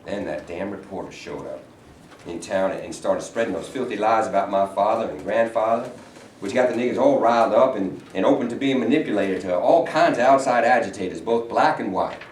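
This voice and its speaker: A man plays a stern, authoritative state governor in a stage drama. His voice is on the low side and somewhat halting. It sounds distant and echoey, with faint noise. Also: darkish tone, close to an everyday voice. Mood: reflective. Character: stern, touchy bigoted Southern state governor. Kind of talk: staged play reading. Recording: echoey, noisy stage recording from far off.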